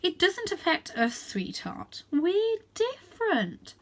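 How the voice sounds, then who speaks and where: A woman voicing a fussy mother cat from a storybook, dismissive and sweetly protective, with drawn-out, condescending phrases. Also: very high voice, sing-song, a bit bright, pitched above the performer's own voice, heavily acted.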